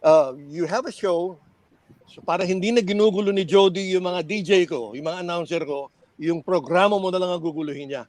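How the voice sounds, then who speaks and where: A man's voice: sits high, fairly quick, a little mellow. An older man with a Filipino accent reminiscing casually like a veteran radio personality, hesitating, repeating phrases and slipping between English and Tagalog.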